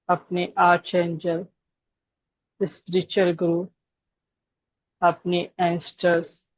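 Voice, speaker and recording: A woman leading a guided meditation, giving gentle instructions with long pauses between phrases. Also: lowish voice, dry recording, muffled recording.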